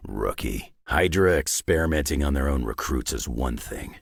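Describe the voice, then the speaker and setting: A battle-worn old brawler for a video game. The voice is extremely low and full of gravel, husky at the edges. The delivery is terse, wry and cynical, like a folksy tough guy who has seen it all. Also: expressive, unhurried, dry recording, clean studio sound, full character voice. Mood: wry, gruff. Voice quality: gravelly, growling rasp. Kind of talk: video game character voice lines.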